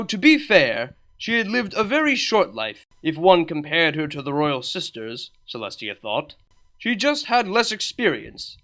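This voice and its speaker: Man narrating with wry, lively delivery. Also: a little high. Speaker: fan fiction reader. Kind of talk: comedic story narration.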